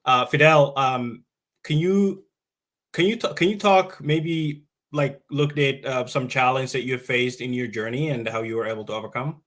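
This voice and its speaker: A man's voice: medium pitch, normal speed, a bit bright. Polite hesitant man moderating a panel.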